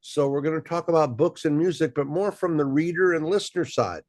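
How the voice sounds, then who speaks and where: A man's voice: on the high side, a little fast, no room sound, clean studio sound. A man hosting a casual interview, easygoing and loose with fillers. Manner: relaxed, many fillers and repeats. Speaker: host.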